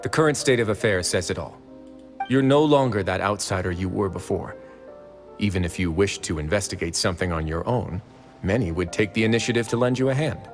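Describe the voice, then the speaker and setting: A man voicing a confident, easygoing prison warden in a video game, speaking in a relaxed, persuasive, assured way. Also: fairly low pitch, brisk, long reverb tail, soft background music, close to an everyday voice.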